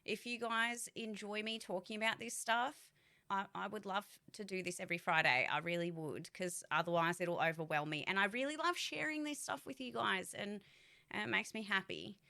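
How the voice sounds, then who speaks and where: A woman's voice: mid-range voice, normal speed, vocal fry, sharp tone. A woman voice actor and streamer giving a casual vlog update, candid and rambling, swearing freely.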